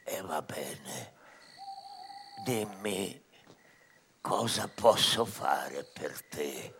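Gravelly, slow-speaking tormented demon. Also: male voice, low-pitched, animated, pushed and bright, a little hiss or hum, full character voice. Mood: sly, hushed. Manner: brooding, drawn-out, dramatic. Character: tormented demon servant of Lucifer, sly and bitter. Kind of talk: theatrical radio drama acting in verse.

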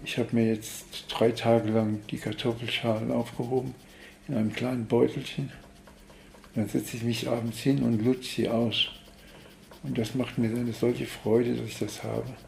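An older man with a gravelly voice, speaking haltingly and repeating himself. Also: mid-range voice, level tone, darkish tone. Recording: roomy, echoing, faint noise and rustling.

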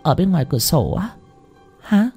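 A man's voice: on the high side, big pitch swings, moderate pace, dark tone, breathy edge, faint music behind, voice pushed up, heavily acted. A man voicing a terrified young wife who stammers and repeats her words in panic.

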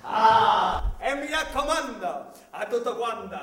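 A man's voice: high-pitched, animated, takes it slowly, fairly bright tone, a little hiss or hum, exaggerated voice. A man playing a theatrical comic character in a stage comedy. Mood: exclamatory. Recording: echoey distant hall recording with music.

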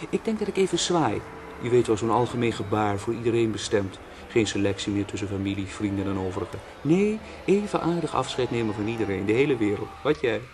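A man's voice: fairly low pitch, expressive, fairly quick, background noise, close to an everyday voice. An actor playing a drowning man in a radio play, wry and musing as he rambles about death. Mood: mocking. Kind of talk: Dutch radio play acting.

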